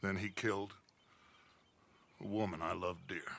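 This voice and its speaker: An actor playing a commanding, brooding outlaw gang leader in a video game drama, deliberate and theatrical. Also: male voice, sits low, fairly even intonation, vocal fry, fairly bright tone, full character voice.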